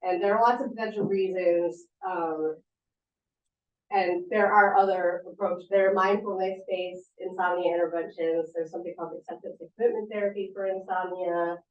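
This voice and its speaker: A woman therapist chats through audience questions about sleep, loose and informal with lots of fillers and restarts. Her voice sits a little low. It is picked up from a distance in an echoey room, slightly muffled. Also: fairly even intonation, normal speed. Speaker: sleep therapist giving a talk. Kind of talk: casual talk answering audience questions. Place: meeting room at a support center.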